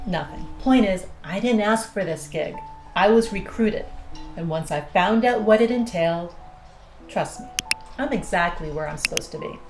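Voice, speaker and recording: A woman's voice: mid-range voice, animated, normal speed, echoey room, over music, close to an everyday voice. A woman playing a cocky, sardonic pilot in an audio drama, with a dry, blunt, teasing delivery. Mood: guarded, dry. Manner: dry, sarcastic, blunt, foul-mouthed teasing. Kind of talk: naturalistic audio drama dialogue.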